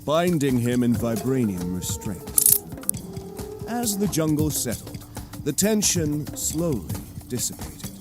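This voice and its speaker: A man's voice: on the high side, big pitch swings, takes it slowly. A man narrating an audio drama in a theatrical, scripted storytelling style. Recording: cinematic music bed behind.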